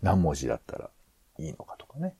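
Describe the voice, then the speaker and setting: A man's voice: sits low, huge pitch swings, fairly quick, vocal fry, dark tone, husky. A man co-hosting a podcast in casual planning discussion, hesitant and rambling as he thinks aloud. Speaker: podcast co-host.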